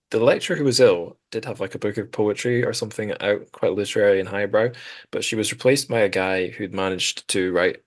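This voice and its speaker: A man with a notably deep voice hosts a literary podcast. He talks casually and at length, wandering through his points with a self-deprecating touch. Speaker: podcast host.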